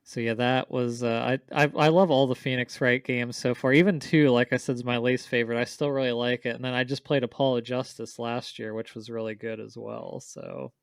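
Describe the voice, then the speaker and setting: Enthusiastic guy, clean smooth voice. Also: medium pitch, steady tone, brisk, hardly any pauses, dry recording, studio quality. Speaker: podcast co-host. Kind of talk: casual chat about favorite games.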